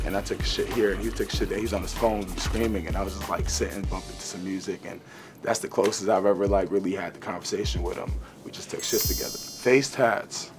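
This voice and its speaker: A young man talking casually in an interview, laid-back, swearing freely and filling gaps with "uh" and "you know". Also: lowish voice. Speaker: rapper guest. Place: studio, green-screen set.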